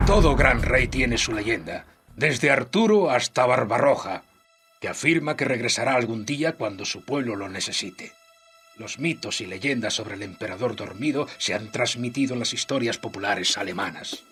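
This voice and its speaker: An actor playing an old exiled nobleman who recounts history in solemn, measured Castilian Spanish, as a dramatic game narration. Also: medium pitch, lively intonation, a bit bright, faint music behind, lightly acted. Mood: grave, dramatic.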